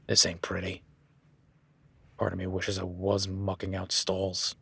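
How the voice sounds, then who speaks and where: A man's voice: low voice, monotone, unhurried, vocal fry, sharp tone, slightly rough, full character voice. A man voicing an easygoing male cowboy ranch hand in an audio roleplay, talking in a laid-back, folksy way with casual swearing.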